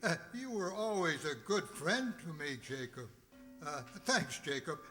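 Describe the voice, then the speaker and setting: A man playing a frightened, crotchety old miser in a radio play, stammering and fretting in a halting, theatrical way. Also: fairly high pitch, very animated, sharp tone, full character voice. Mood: anxious, placating. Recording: long reverb with music behind.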